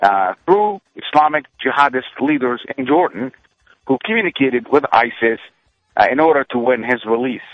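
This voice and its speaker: A male journalist talks as a radio guest, telling his story haltingly and full of fillers. The sound is dull and lo-fi, as if from radio, and dry with no room echo. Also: mid-range voice, animated. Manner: hesitant, many fillers, eager storyteller. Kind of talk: radio interview.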